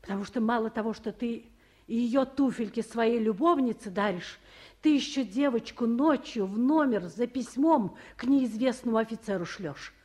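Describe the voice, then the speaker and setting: Actress as a high-voiced commanding woman official. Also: normal speed, darkish tone, natural voice. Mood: firm, reproachful. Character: busy woman official, motherly yet commanding. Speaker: official in charge. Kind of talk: 1950s Russian radio play acting.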